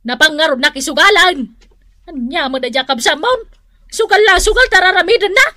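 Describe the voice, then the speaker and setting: A grumbling old Ilocano mother in a radio comedy. The voice is high and sharp, forever scolding and complaining, recorded close and dry. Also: expressive, brisk, dry recording, exaggerated voice. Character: nagging, grumbling elderly mother of the household. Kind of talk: comedic radio drama acting.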